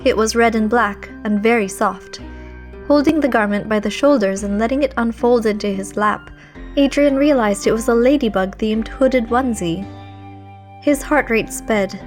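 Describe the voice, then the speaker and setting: A woman narrates a story in a lightly high voice. Her reading is expressive and dramatic. Soft music plays behind her. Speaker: fan fiction narrator.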